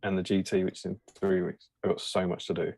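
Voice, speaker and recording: A man hosts a casual live stream, rambling and joking as he goes. His voice sits low with a husky, gravelly grain. He fills his talk with ums and quick asides. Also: flat delivery, a little mellow, no room sound. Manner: jokey, rambling, full of fillers. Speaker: host.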